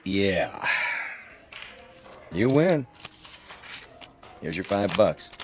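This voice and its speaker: A man's voice: low voice, very animated, extremely slow, full character voice. An actor playing an easygoing but wary traveling man in a radio drama, casual and skeptical in his talk. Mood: amused.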